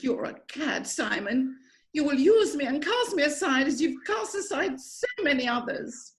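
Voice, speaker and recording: A woman playing a passionate aristocratic widow in an amateur play reading, with theatrical, drawn-out delivery. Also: high voice, sing-song. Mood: melodramatic. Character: melodramatic aristocratic lady of the manor, passionate widow.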